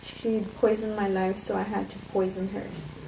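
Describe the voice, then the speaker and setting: Female, self-justifying poisoner confessing calmly, read by a woman; halting cold read, distant mic in an echoey, noisy room. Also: mid-range voice, vocal fry, lightly acted. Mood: matter-of-fact, bitter. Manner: halting, stumbling amateur reading. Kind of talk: cold table read of a script.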